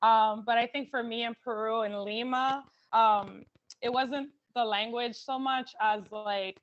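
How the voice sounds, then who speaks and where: A woman's voice: fairly high pitch, fairly even intonation, measured pace, dull audio. A woman telling a story as a panelist, chatty and giggly with many fillers.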